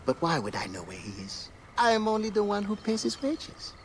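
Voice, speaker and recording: An actor playing a flamboyant, teasing gentleman in an ancient Egyptian video game, arch, coy and theatrical. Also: high-pitched, wildly swooping pitch, fairly quick, vocal fry, a little hiss or hum, heavily acted.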